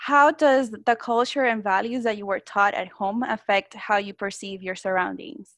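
A woman's voice: medium pitch, fairly even intonation, moderate pace, fairly bright tone, no room sound, clean studio sound. A woman from Puerto Rico with a Spanish accent, asking interview questions earnestly and sometimes searching for words. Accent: Puerto Rican Spanish.

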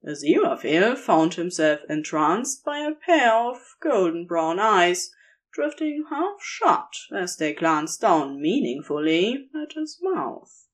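A woman's voice: fairly low pitch, very animated, slow pace. A woman narrating romantic fiction from a script as a storyteller.

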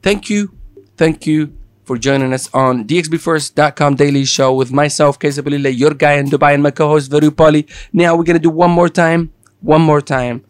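A man's voice: on the high side, no room sound. Joking male host, Italian accent. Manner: playful, joking, jumps between topics. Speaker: show host. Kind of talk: lively daily show chat.

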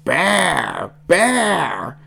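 A performer with a woman's voice playing a wild, excitable baby monster who shouts in short bursts. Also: mid-range voice, very slow, creaky, pitched above the performer's own voice, full character voice. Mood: frantic. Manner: shouting single words.

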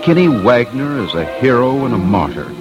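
A man's voice: mid-range voice, sing-song, normal speed, highs cut off. A man hosting and narrating an old radio crime documentary in a solemn, dramatic, scripted way. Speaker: host and investigator. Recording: old radio broadcast with music. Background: music.

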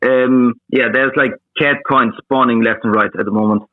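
A man with Russian as his mother tongue talks casually as a trader being interviewed, feeling his way through sentences. His pitch is average for a man, and the sound is narrow and phone-like, with no room echo. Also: fairly even intonation, normal speed. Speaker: interview guest, meme coin trader. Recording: thin phone-like voice-call audio.

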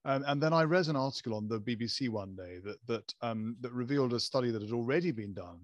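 A man's voice: mid-range voice, quick pace, no echo, muffled recording. Male guest, stammers, hesitant, mid voice. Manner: stammers, with hesitant fillers and repeated words. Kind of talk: casual conversation.

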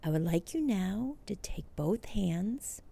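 A woman leading a guided meditation as host, speaking soothingly, with phrases that often trail into a breathy near-whisper. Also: mid-range voice, expressive, slow pace, warm tone.

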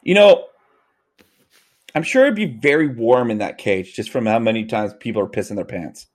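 Rambling Canadian-raised podcast co-host. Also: male voice, medium pitch, animated, normal speed. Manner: rambling, self-correcting, laughs easily. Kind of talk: casual podcast chat.

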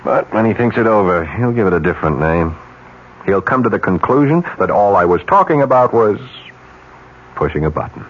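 An actor playing a cynical, persuasive ad executive in a radio mystery drama, smooth, chuckling and insistent. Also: male voice, lowish voice, lively intonation, a little fast. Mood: emphatic. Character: cynical, persuasive male ad executive scheming to corrupt a colleague. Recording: dull, noisy old radio recording.

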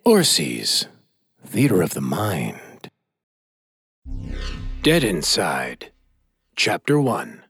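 A male announcer reads in a theatrical, scripted style. His voice is husky with plenty of gravel, and the sound is very dry. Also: low-pitched, huge pitch swings, extremely slow, pauses often, a bit bright, dry recording, faint music behind. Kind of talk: dramatic scripted podcast announcing.

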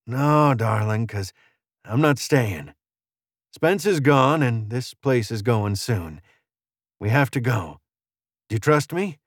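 A vengeful gunslinger risen from the dead as a man narrator plays him. He rasps in a folksy Old West drawl with cold resolve. The sound is dry and intimate, fit for a western audiobook. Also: mid-range voice, expressive, takes it slowly, long pauses, dry recording. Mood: tender. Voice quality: raspy.

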